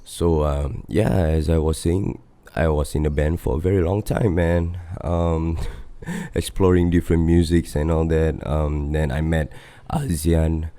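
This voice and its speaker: Very deep-voiced Singaporean man. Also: steady tone, a little slow, warm tone, no echo. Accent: Singaporean English. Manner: rambling, frequent fillers and swearing, laid-back. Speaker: podcast host and band vocalist. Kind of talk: casual solo podcast monologue.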